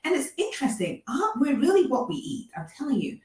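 A woman in her forties from Nigeria, telling her story as a guest in a casual interview, with animated, lively delivery. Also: high voice, brisk, reverberant, distant mic. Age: 40s.

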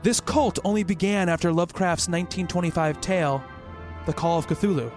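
A man's voice: high voice, brisk, darkish tone, close to an everyday voice. A man playing a worried, rational researcher in an eerie radio play, speaking as a thoughtful narrator and character over music. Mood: pensive, measured. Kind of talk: radio play dialogue and first-person narration.

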